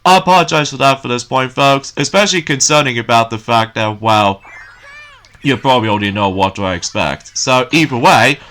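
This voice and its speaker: Rambling man with a bright voice. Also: mid-range voice, brisk. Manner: rambling, repeats filler phrases. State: slightly croaky from waking early. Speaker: let's play commentator. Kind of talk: casual gameplay commentary.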